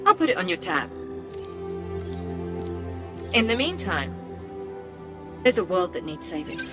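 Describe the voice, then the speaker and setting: A woman's voice: lowish voice, sing-song, measured pace. A loyal ai helper lady in a game, polite n dry, music behind her. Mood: dryly fond. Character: composed, loyal female AI assistant, dryly witty.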